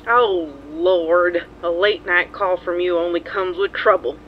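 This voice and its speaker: An actress playing a wry, put-upon, sassy sheriff's sweetheart with dry delivery over the phone in an audio drama. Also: female voice, lowish voice, fairly even intonation, unhurried. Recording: dull phone line, faint noise.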